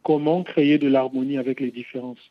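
A man's voice: mid-range voice, steady tone, a little fast, no room sound, phone-quality sound. A man who founded a game studio, explaining his game's vision as a radio discussion guest, reflective and building long arguments.

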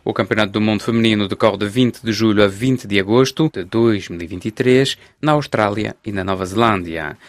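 A male radio sports reporter reads football news and questions his guests, keeping a measured, formal delivery. His voice sits on the low side. The sound is close and dry, with no room around it. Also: dry recording. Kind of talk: scripted news reading and interviewing.

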